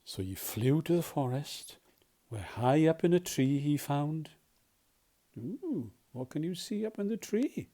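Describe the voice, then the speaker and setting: Storyteller, children's picture book, very varied pitch, soft mellow tone, unhurried, dramatic. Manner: animated, dramatic, often drops to hushed tones. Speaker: volunteer storyteller. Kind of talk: expressive read-aloud of a children's picture book, with questions to the listener.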